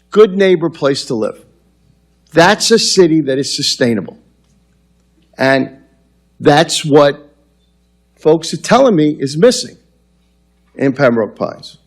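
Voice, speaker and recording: New York-accented man at a podium. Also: fairly high pitch. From New York City. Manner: conversational, many fillers and restarts, animated hand gestures. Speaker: mayor presenting at a public forum. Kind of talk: public forum address. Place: large council chamber, at a podium. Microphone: podium microphone, far camera.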